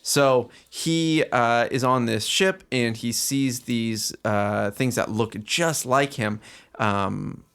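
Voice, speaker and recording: A man's voice: mid-range voice, slow, fairly bright tone, clean tone. A man co-hosting a podcast in casual chat, talking in a jokey, colloquial way. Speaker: podcast co-host. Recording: music behind the voice.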